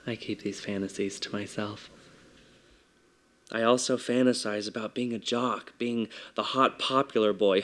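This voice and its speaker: A man with a soft, feminine-sounding voice performing a theatrical confessional monologue, mostly quiet and measured. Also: on the low side, clear, resonant tone.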